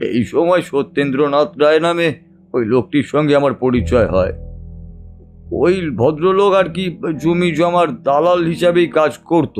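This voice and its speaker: Casting: male actor for cheated, distressed family man, audio drama, halting and confiding, medium pitch, soft music behind. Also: close to an everyday voice. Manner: confiding, halting, seeks agreement with tag questions. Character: distressed, cheated middle-class family man telling his troubles. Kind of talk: Bengali audio detective drama monologue.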